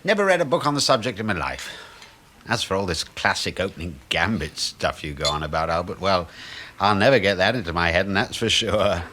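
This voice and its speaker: An actor in a radio play voicing a cheeky, breezy middle-aged British suburban husband who teases and muses like a know-all. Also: male voice, low-pitched, very animated, fairly quick, noisy background. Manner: breezy, teasing, musing.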